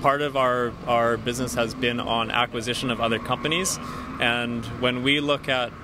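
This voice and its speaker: A man in his thirties giving measured, businesslike explanations as an executive interviewed outdoors on a handheld microphone. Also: medium pitch, fairly even intonation. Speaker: company CEO being interviewed. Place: outdoors on a busy city street. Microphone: handheld interview microphone held by interviewer. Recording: clear street and traffic noise.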